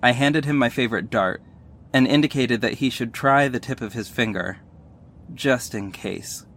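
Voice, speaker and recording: Audio drama lead for a male actor: earnest young hero, emotional first-person narration, medium-pitched voice. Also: normal speed, fairly bright tone. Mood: steady, intrigued.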